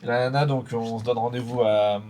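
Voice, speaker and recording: A man in a casual chat, halting and full of fillers as he tells a story. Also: medium pitch, steady tone, mellow, a little hiss or hum.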